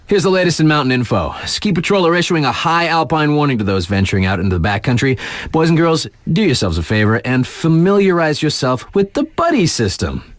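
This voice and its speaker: A man's voice: on the high side, big pitch swings, a little fast, no echo, clean studio sound. An actor playing a laid-back, wry male radio DJ in a video game, talking in casual slang to hype up his listeners. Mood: cool, cautioning.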